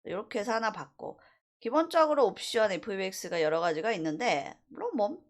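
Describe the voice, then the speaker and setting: A woman teaching a university animation class through a screen-recorded software tutorial, explaining step by step with frequent fillers. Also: mid-range voice, sing-song, fairly quick.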